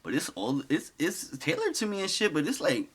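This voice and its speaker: A man's voice: a little high, wildly swooping pitch, moderate pace, husky. A man giving loose, profane comedic commentary over clips, wandering off-topic and laughing at his own jokes. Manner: profane, digressive, laughs often. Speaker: commentary host.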